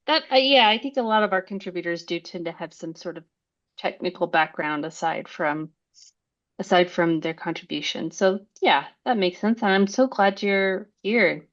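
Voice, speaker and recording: A woman's voice: fairly low pitch, moderate pace, muffled recording. A woman co-hosting a casual interview, friendly and laughing often. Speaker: co-host.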